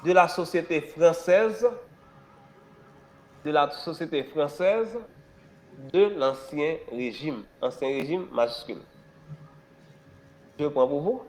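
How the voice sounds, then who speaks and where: A man teaching history in a classroom, hoarse from a cold, dictating slowly, repeating phrases and spelling words out letter by letter. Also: on the high side, animated, a little hiss or hum. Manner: emphatic rising stresses, rhetorical questions.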